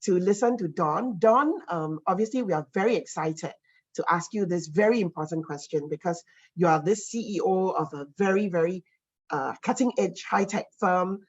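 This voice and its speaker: Husky-voiced woman moderating a panel. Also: on the low side, normal speed, dull audio. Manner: polite, formal questions with fillers.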